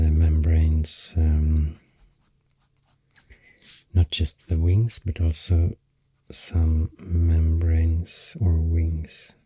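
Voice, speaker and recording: A woman with a deep, breathy voice and a Swedish accent murmurs softly in ASMR style. Her delivery is extremely slow and hesitant, with little rise or fall. It is whispery and very close to the mic. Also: no room sound. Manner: hesitant, unhurried. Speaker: ASMR artist. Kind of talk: soft-spoken ASMR rambling. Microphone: close microphone. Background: soft pencil sounds.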